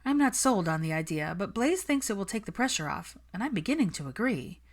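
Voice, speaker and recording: A woman's voice: mid-range voice, very animated, brisk, pitched above the performer's own voice. A woman voicing a stressed young witch in a story reading, venting emphatically about planning her wedding. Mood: resigned.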